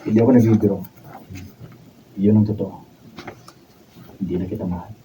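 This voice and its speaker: A man's voice: fairly low pitch, fairly even intonation, moderate pace, close to an everyday voice. A man acting a weary, fed-up husband in a Filipino radio drama, with a Filipino accent. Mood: cold. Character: weary, fed-up husband gone cold toward his wife. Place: radio drama recording session. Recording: dull, distant, slightly noisy raw video.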